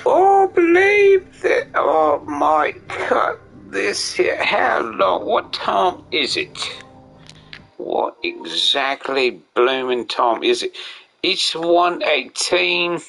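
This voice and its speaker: A man's voice: a little high, big pitch swings, very slow, creaky, fairly bright tone. A man giving casual live commentary on a game, rambling in whimsical asides to himself. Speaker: game streamer. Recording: game music behind, slight mic echo. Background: video game music.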